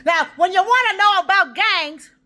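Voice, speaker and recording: A woman ranting in a rambling, profane monologue, swinging between loud outbursts and low muttering. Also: moderate pace. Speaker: vlogger.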